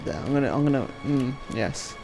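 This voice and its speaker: Gaming streamer, casual commentary, fry in the voice, lively pitch, self-mocking, sweary, game music behind. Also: fairly quick.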